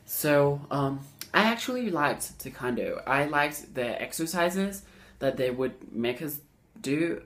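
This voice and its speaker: A man's voice: medium pitch, fairly bright tone. A young man telling a personal story casually, in a hesitant way, often searching for words.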